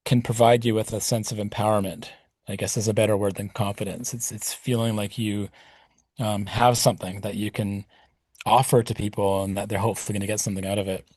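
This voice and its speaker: A man's voice: lowish voice, flat delivery, brisk, darkish tone, no room sound. A middle-aged man speaking as a podcast guest, reflective and rambling with plenty of fillers. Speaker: podcast guest, punk musician. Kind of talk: casual interview talk.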